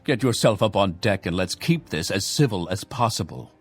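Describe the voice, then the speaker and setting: An actor playing a stern, curt imperial guard in a fantasy game, commanding and clipped. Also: male voice, lowish voice, lively intonation, normal speed, husky, soft background music. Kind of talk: scripted fantasy video game dialogue.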